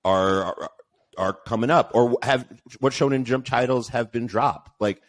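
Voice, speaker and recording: A man's voice: medium pitch, unhurried. A man in casual podcast chat, rambling with many restarts and teasing the others.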